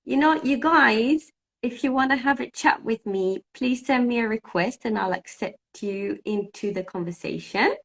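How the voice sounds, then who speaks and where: Chatty Cypriot-born teacher, Greek native. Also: female voice, fairly high pitch, moderate pace, creaky, a bit bright. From Cyprus. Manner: chatty, thinking aloud while fixing technical trouble. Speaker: English teacher hosting a live session. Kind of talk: casual live-stream chat. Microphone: phone.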